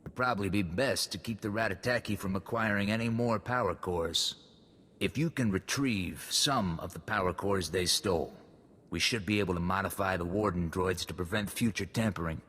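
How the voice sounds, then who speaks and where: A steady, plain-spoken colonel in a game cutscene. His voice sits fairly low and he keeps it terse and practical, ringing in a long hall reverb. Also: normal speed, breathy edge. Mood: matter-of-fact. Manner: terse, matter-of-fact. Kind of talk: video game cutscene acting.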